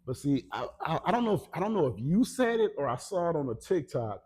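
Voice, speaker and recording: An American man chatting casually as a podcast co-host, telling stories and teasing his friend in an animated way. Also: fairly high pitch, fast. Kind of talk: casual conversation between friends.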